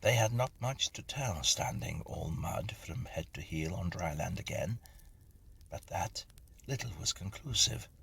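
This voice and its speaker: Male narrator for classic ghost fiction: very deep, fry-laden voice, measured dramatic delivery. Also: lively intonation, a bit bright, slight background noise. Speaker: reader and narrator of ghost stories. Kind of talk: scripted dramatic narration of a Victorian ghost story.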